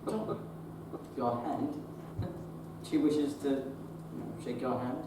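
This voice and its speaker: Effusive period doctor, female stage actor, highly animated, exclamatory delivery, boomy far-off theatre recording with noise. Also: a little fast, heavy reverb, close to an everyday voice. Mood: admiring, eager. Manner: excitable, exclamatory. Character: effusive, eager Victorian gentleman doctor. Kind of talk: live stage drama acting.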